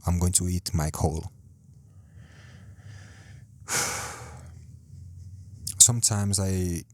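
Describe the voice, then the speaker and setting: A man's voice: sits low, steady tone, drawn-out words. A man hosting a solo podcast from a home setup, rambling and self-deprecating, swearing often and repeating himself, speaking close to the mic. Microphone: close home mic, sometimes too close.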